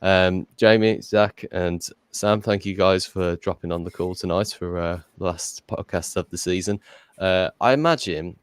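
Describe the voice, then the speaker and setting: A man's voice: sits low, moderate pace, clear, resonant tone, no room sound. A young man hosting a casual podcast, rambling with lots of fillers. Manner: rambling, filler-heavy, chatty. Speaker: podcast host.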